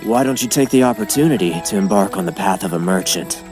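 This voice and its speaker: A man's voice: low voice, lively intonation, fast talker. A man playing a confident, roguish chief of traveling merchants in an anime dub, teasing and persuasive like a showman. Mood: coaxing, persuasive. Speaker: voice actor in an anime fan dub. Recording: music behind the voice. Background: music.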